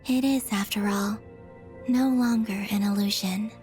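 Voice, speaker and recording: A woman voicing a gentle, wistful young moon goddess in a game cutscene, speaking softly and sincerely in a measured, breathy way. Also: medium pitch, steady tone, faint music behind, close to an everyday voice. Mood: pensive.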